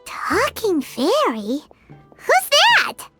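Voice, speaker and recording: A woman voicing a tiny, cheeky, childlike fairy companion in an animated video game style. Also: drawn-out words, exaggerated voice. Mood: excitable. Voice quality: squeaky, childlike. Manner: speaks of self in third person, exclamatory. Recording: music behind the voice.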